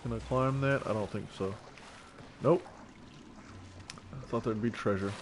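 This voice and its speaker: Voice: medium pitch, unhurried, slight background noise. A streamer giving casual, terse live gameplay commentary, offhand and given to swearing.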